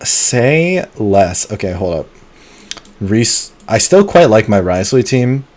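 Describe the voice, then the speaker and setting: A man streaming a video game live, chatting casually and muttering to himself between bursts of excitement. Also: fairly low pitch, slow pace. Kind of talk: live gameplay commentary.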